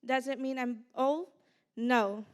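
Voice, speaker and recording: A woman's voice: sits high, fairly even intonation, takes it slowly, a little mellow. A young woman reciting her own poem at a student event, earnest and stumbling slightly over words. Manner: earnest, reads with small stumbles. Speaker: student poet. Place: indoor conference stage.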